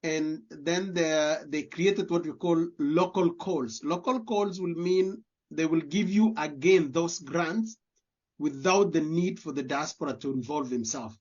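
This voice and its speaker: A man's voice: a little high, measured pace, husky. A Kenyan man with a Kenyan accent, answering interview questions as a diaspora leader, earnestly repeating words as he searches for phrasing. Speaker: guest, diaspora community leader. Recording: dull lo-fi audio.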